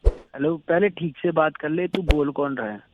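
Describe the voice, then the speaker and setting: A gruff man arguing on the phone, scolding and threatening the person who called him. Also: a little high, normal speed. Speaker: prank call victim. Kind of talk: phone argument. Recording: very noisy phone line with clicks.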